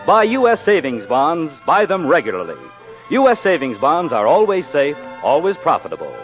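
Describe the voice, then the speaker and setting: American guy, old time radio announcer, higher voice, very lively, muffled with some music. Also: moderate pace. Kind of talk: 1940s radio sponsor announcing. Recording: dull 1940s radio broadcast with music.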